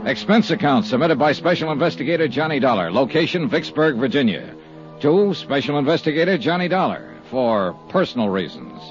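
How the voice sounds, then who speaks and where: An actor playing a tough, businesslike private investigator in a 1950s radio drama, speaking in a clipped, hard-boiled way. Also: male voice, on the high side, sing-song, brisk. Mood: brisk, matter-of-fact. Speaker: lead actor and narrator. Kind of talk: 1950s radio drama acting and first-person narration. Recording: muffled 1950s radio recording with music.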